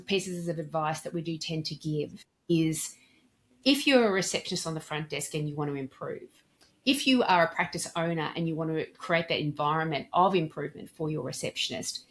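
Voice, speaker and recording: A woman explaining and giving advice in a podcast interview, articulate and emphatic, in the manner of a trainer. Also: lowish voice. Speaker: dental communication trainer and consultant, podcast guest.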